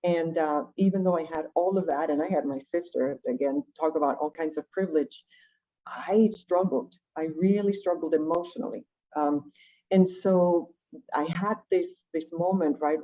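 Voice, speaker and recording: A woman from Venezuela with a low, mellow voice speaks reflectively in English, her second language after Spanish. She tells personal stories in a conversational way, posing questions as she goes. The audio is dull and lo-fi. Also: normal speed. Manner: reflective, with rhetorical questions and tag "right?". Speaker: guest, education nonprofit director.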